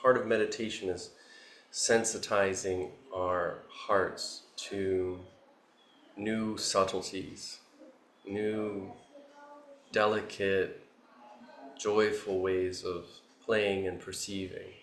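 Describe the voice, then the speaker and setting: A man leads a guided meditation in a low, even voice. He gives gentle instructions in short phrases, leaving long pauses between them. The recording has a roomy echo around his voice. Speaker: meditation teacher. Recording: roomy echo, faint background noise.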